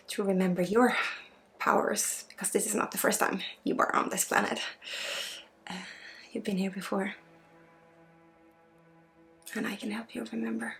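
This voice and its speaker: A woman leading an ASMR energy healing session, soft-spoken and often slipping into a breathy whisper. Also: medium pitch. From Sweden. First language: Swedish. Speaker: energy healer and spiritual guide.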